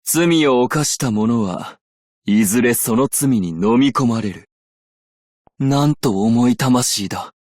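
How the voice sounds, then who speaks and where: A man playing a composed, shrewd and dignified desert merchant in a Japanese game, speaking in a measured, formal way. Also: medium pitch, a little mellow, no echo, clean studio sound. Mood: solemn, cool. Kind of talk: Japanese game character voice lines.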